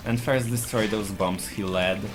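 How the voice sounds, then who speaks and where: Male speedrunner with a Polish accent and a slightly low voice; calmly explains tactics over game music. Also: moderate pace, rough recording. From Poland. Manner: explains tactics plainly while playing. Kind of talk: live gameplay commentary.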